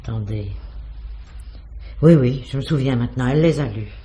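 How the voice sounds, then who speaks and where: An actor playing a hesitant, defensive, gossipy building concierge in a 1950s French radio mystery style. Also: male voice, mid-range voice, moderate pace, warm tone, lightly acted. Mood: defensive, flustered. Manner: hesitant, evasive, protesting. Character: evasive, defensive, gossipy building concierge under police questioning.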